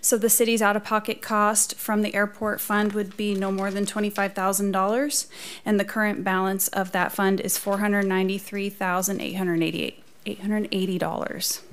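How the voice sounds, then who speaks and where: A woman presenting a formal report as a city staffer in a council chamber, measured and businesslike. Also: medium pitch, little pitch movement. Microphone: podium microphone.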